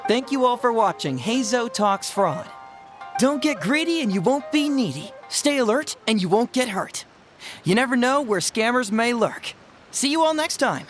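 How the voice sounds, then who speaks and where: A woman's voice: a little high, expressive, normal speed, soft background music, lightly acted. A woman voicing a cocky, clever young male detective in a game, glib and playful. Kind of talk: game cutscene voice acting.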